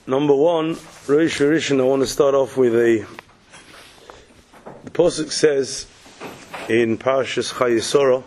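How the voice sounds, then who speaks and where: A man with a cold, croaky, giving a morning Torah lecture as a rabbi, posing questions and answering them step by step. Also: mid-range voice, steady tone, measured pace, long pauses, darkish tone, a little hiss or hum, low-quality recording. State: has a cold.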